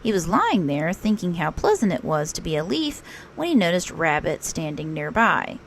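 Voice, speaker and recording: A woman reading a children's picture book aloud as a storytelling teacher, expressive and unhurried. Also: on the low side, a little hiss or hum.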